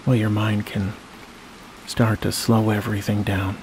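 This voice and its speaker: A man with a lowish voice guides a sleep meditation. His delivery is very even, slow and soothing, with long pauses between short phrases. A clear wash of rain-like noise runs behind his voice. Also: poor audio. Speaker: hypnosis guide.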